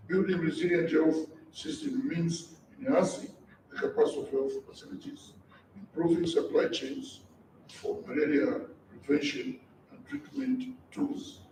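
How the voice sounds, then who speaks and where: A man's voice: a little high, gravelly, dark tone. A man with a Zambian accent giving a formal public speech as a government minister, in an echoey, distant recording. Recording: echoey, distant event recording, some noise.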